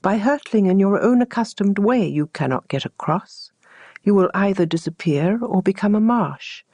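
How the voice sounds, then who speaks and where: A woman voicing the mysterious desert sands in a storybook tale, calm, patient and certain as they instruct. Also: medium pitch, normal speed, breathy edge, dry recording. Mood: calm, knowing.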